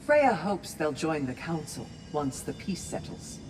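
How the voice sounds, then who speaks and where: A woman's voice: sits low, big pitch swings, measured pace, rough recording. Composed warrior woman, music behind. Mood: calm hope. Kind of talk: video game dialogue.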